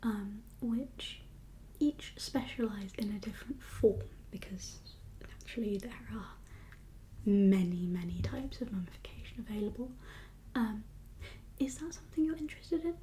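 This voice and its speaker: A woman doing a whispered ASMR roleplay as a doctor, speaking in a breathy, gentle, reassuring and slightly hesitant consultation manner. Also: mid-range voice, normal speed, slight background noise, rough recording.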